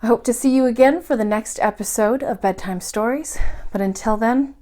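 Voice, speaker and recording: A woman reading a classic adventure story aloud as a storytime host, with dramatic emphasis and deliberate pauses. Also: on the high side, a little mellow. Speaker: library storytime host and reader. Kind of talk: expressive bedtime audiobook-style reading of a classic adventure novel.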